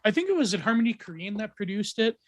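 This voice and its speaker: High-voiced man rambling through ideas. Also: very animated, quick pace, dry recording. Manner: rambling, many ums and restarts, laughs often. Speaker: podcast guest. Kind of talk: casual podcast chat.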